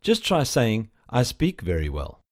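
A man's voice: mid-range voice, wildly swooping pitch, slow. A man narrating a language course, giving patient scripted prompts and encouragement. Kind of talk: scripted instruction.

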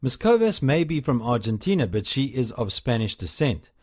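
Slow, lively-pitched male lesson narrator. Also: breathy edge. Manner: calm, measured prompting. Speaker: language course narrator. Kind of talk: scripted instructional narration.

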